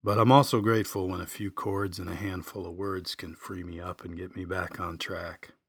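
A man's voice: deep voice, level tone, moderate pace, a bit bright, husky, dry recording, clean studio sound. A middle-aged man telling a personal story in a reflective, folksy, plain-spoken way. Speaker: podcast host and singer-songwriter. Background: acoustic guitar music.

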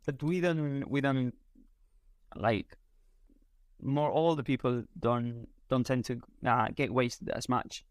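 A man's voice: medium pitch, slow pace, dry recording. A man from Spain with a Spanish accent, a native Spanish speaker talking casually in English as an interview guest, often searching for his words. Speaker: interview guest, content creator. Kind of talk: casual conversation.

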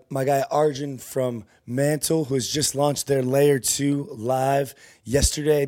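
A man's voice: medium pitch, a little mellow. A man hosting an interview in a loose, rambling way, with casual slang and frequent restarts. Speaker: host. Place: conference venue.

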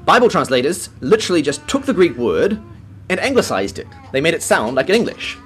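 A man preaching a teaching sermon, explaining scripture in a measured, explanatory way. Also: on the high side, sing-song, fairly bright tone, faint music behind. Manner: explanatory, measured, occasional light laugh.

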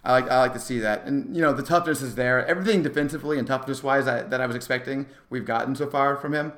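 A man's voice: mid-range voice, fast talker, a bit bright. A man co-hosting a sports podcast, talking basketball in a casual, conversational style.

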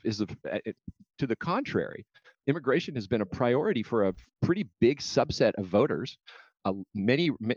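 A man's voice: on the low side, no echo. Dry, slightly muffled American man debating politics. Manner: argumentative, halting restarts when rebutting. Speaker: interview guest, political commentator. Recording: slightly dull, lo-fi audio.

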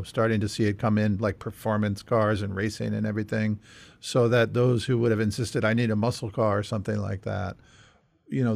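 A man hosting a casual discussion, talking in a measured, thoughtful way. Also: on the low side, creaky, no echo. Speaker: podcast host.

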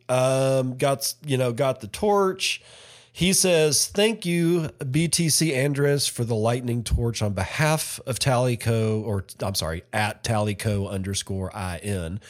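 A man hosting a solo podcast, talking casually and rambling, often correcting himself mid-sentence. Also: medium pitch. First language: not Finnish. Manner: rambling, self-correcting, chatty. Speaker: podcast host.

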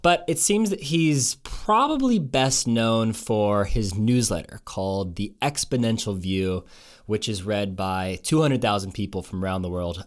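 A man hosting a podcast who talks in a loose, chatty way, with fillers and restarts. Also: fairly low pitch. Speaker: podcast host. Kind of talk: conversational podcast intro and interview.

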